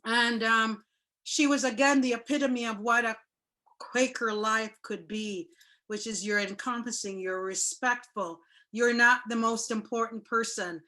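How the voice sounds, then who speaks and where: A woman tells a story in a loud, chatty way over a video call. Her voice sits a little high and sounds bright and forceful. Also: steady tone, measured pace. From Jamaica. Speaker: guest speaker.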